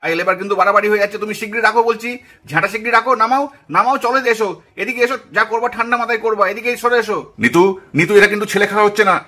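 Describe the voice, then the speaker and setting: Man acting urgent, high-pitched village husband. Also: rapid-fire, sharp tone. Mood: alarmed, urgent. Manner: urgent, repetitive, admonishing. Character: alarmed village husband, commanding and pleading for calm. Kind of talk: puppet cartoon family drama acting.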